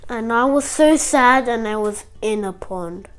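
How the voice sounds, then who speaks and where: A woman's voice: fairly high pitch, a little slow, a bit bright, clear, resonant tone, voice pushed down. A young female storyteller voicing a plaintive young prince who explains himself in childlike, repetitive words.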